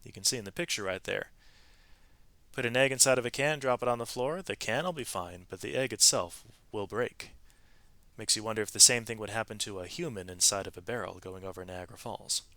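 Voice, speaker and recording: A man reading a storybook aloud to students in an expressive, emphatic way, with brief explanatory asides to his listeners. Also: on the low side, brisk, dry recording. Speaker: read-aloud narrator, teacher reading to students.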